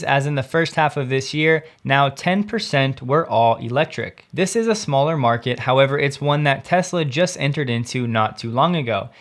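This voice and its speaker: A man hosting a scripted news show, explaining things clearly with short personal asides. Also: on the high side, fairly bright tone. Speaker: host of an electric car news show.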